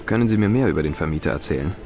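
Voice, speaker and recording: An actor playing a polite, inquisitive young tourist hero in an adventure game, asking his questions clearly and courteously. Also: extremely low pitch, lively intonation, rapid-fire, a little mellow. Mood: curious. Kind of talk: dubbed adventure game dialogue. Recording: music behind the voice. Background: game music.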